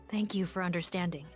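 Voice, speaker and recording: A woman's voice: on the low side, natural voice. A woman playing a dutiful, grave officer in an anime game, speaking formally. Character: composed, dutiful adult female officer.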